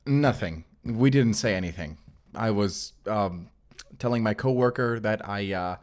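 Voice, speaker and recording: Guy playing a vampire hunter, lowish voice, guarded n blunt but protective, audio rp style. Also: unhurried, a little mellow, lightly acted. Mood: flustered, brisk. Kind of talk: cinematic audio roleplay addressed to the listener.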